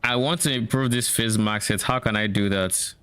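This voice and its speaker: A man's voice: lowish voice, steady tone, moderate pace, fairly bright tone, smooth. A man from Nigeria with a mixed Nigerian and Canadian accent, thinking aloud in casual chat on a live game stream. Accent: mix of Nigerian and Canadian. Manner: thinking aloud, musing and self-questioning.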